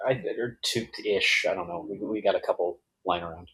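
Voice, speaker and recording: A man's voice: deep voice, steady tone, normal speed, sharp tone, slightly rough, low-quality recording. A man chatting casually as a guest on a podcast. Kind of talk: casual chat.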